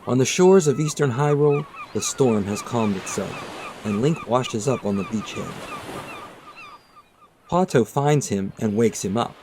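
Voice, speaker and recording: A man reading scripted, storybook-style narration in a measured, dramatic storytelling manner. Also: mid-range voice, wildly swooping pitch, a little mellow, slight background noise. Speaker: narrator of a manga retelling video. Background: background music.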